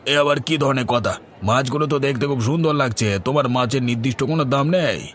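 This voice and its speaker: A man's voice: mid-range voice, fast talker, fairly bright tone, some chatter behind, a little hiss or hum, close to an everyday voice. A man voicing a mild, inquisitive village man in a cartoon, with a questioning, conversational manner. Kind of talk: cartoon voice acting.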